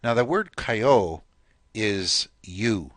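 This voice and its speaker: Man, fairly low voice, patient lesson narration, explains and prompts repeats, dry sound. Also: lively intonation, extremely slow, fairly bright tone, no echo. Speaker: language teacher.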